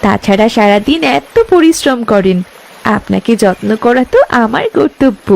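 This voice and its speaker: A woman's voice: a little high, animated, moderate pace, vocal fry, a little mellow. A woman playing a sweet, caring young fairy wife in a cartoon story, courteous and earnest. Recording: music bed behind the voice.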